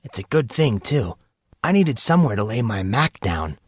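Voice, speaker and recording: A man's voice: medium pitch, sing-song, moderate pace, slightly rough, no room sound, studio quality. A man voicing a joking, cocky fourteen-year-old boy with a breezy, playful manner in an audiobook. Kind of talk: audiobook character voice.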